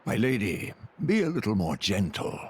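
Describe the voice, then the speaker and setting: A man voicing an old male tiger beast who serves as a calm, loyal retainer, speaking in a gentle, formal, measured way. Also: lowish voice, husky. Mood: gently reproving. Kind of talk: video game voice acting.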